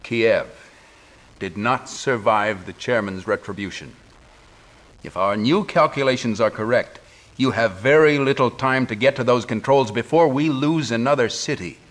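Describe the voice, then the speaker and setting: A grave middle-aged commander performed by a male actor for a sci-fi game cutscene. He speaks at a medium pitch in formal military phrases. Every order carries urgency. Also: normal speed, lightly acted. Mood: grave, urgent. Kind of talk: scripted game cutscene acting.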